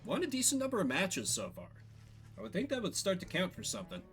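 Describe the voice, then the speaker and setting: Animated man narrating a video game. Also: high voice, fast, faint music behind. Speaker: streamer playing and voicing a story game. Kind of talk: storybook-like game narration with casual asides.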